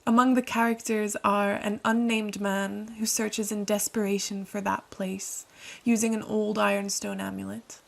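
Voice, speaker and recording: A woman hosts a podcast with a scripted introduction. Her voice sits at a middling pitch with pronounced creak. She reads in a measured, composed way. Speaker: podcast host and radio-play co-writer.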